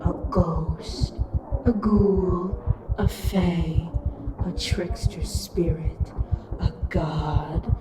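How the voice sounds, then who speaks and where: An actor performs an ageless, disembodied captor spirit from an audio drama, cruelly curious and mocking. The delivery is slow and theatrical, savoring each word. The voice rings from a distance in an echoey space over a clear hum. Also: mid-range voice, steady tone. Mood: eerie teasing. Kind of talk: audio roleplay, addressed to listener. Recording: echoey, distant, with humming noise.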